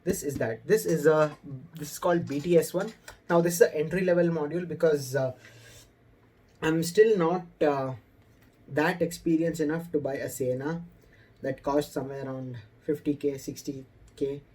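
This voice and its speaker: Young Indian man, mellow higher-pitched voice. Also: fairly even intonation, normal speed. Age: young adult. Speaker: motorcycle vlogger. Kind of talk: casual vlog talk to camera. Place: indoors in a room.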